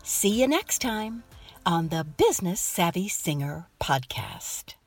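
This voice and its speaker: A woman's voice: lowish voice, very animated, takes it slowly. Upbeat woman reading a podcast outro. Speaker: podcast host. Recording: faint music behind.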